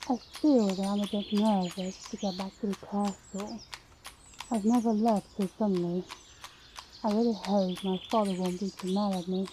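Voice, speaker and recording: Young princess, played by a woman, mid-pitched, intimate audio roleplay delivery, birdsong and light noise behind. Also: fairly even intonation, moderate pace, dark tone, lightly acted, low-quality recording. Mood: nervous. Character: young rival princess, daughter of a stern king.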